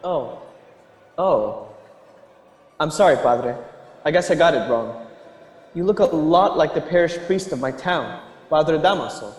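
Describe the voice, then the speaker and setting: An actor playing a polite, earnest young gentleman in a radio drama, courteous and apologetic. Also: fairly high pitch, lively intonation, unhurried, long pauses. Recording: echoey hall-like reverb.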